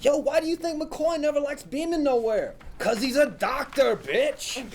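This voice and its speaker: A man's voice: very high voice, moderate pace. Cocky, slangy young slacker man. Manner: slangy, emphatic. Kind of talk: script table read. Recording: faint room noise.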